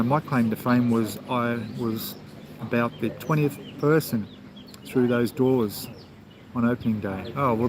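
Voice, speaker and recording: A man with an Australian accent, hosting a casual travel live stream and answering viewer comments in a rambling, chatty way, with plenty of ums and restarts. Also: medium pitch. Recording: clear outdoor background noise.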